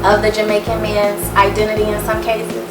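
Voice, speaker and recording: A woman co-host asks quick, curious questions. She sounds distant from the mic in an echoing room, with music underneath. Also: mid-range voice, completely flat delivery. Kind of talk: interview questions.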